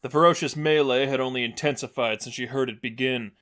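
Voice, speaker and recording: A man's voice: medium pitch, fairly even intonation, brisk, fairly bright tone. A man reading an action story aloud as scripted narration. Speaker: narrator reading fan fiction.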